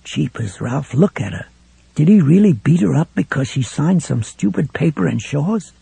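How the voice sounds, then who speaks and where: A man's voice: on the high side, sing-song, normal speed, creaky, warm tone, breathy edge, no echo, heavily acted. Brief: nervous young store clerk, shaky and unsure, voiced by a male narrator, dry. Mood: jittery. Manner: doubtful, jittery.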